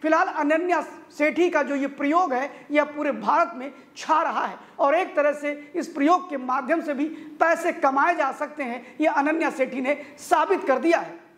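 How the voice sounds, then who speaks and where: High-voiced woman reading the news. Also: moderate pace. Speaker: news presenter. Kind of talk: scripted news narration.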